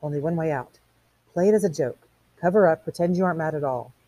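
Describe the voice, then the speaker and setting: A woman voicing an anxious old man from a novel, giving urgent, hushed, pleading advice. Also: low voice, fast, poor audio. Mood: hushed warning.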